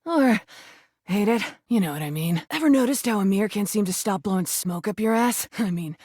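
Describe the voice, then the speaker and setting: A woman voicing a brash, crude, flirty rubber duck in a video game, with a husky voice and a sardonic, slangy, sometimes defensive delivery. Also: mid-range voice, lively intonation, moderate pace, warm tone, no echo, clean studio sound, close to an everyday voice. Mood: bored, snide. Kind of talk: video game character acting.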